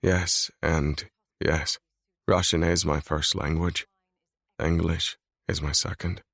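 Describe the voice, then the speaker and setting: A man voicing a brooding, controlled mafia boss in a scripted audiobook reading, low and grave. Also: little pitch movement, slow pace, creaky, fairly bright tone, no room sound, clean studio sound, heavily acted. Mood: grim. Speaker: male lead voice in audiobook.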